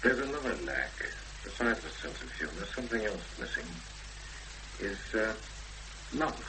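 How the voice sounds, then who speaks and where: A very deep-voiced, donnish man in a seventies radio play. He is analytical and sure of himself, thinking aloud with hesitations and wide swings of pitch. There is clear hum and noise on the old broadcast recording. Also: big pitch swings, moderate pace. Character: Edgar, analytical, self-assured male literary profiler. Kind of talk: naturalistic 1970s radio drama acting. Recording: noisy hummy 1970s radio broadcast recording.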